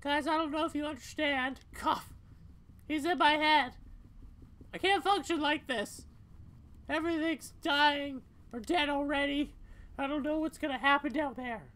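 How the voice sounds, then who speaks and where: A young female cat from a cartoon game, acted by a woman, with a very high voice. She is stubborn but shaken, and her words come out halting and weary. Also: a bit bright. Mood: shaken, strained. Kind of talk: amateur video game voice-over.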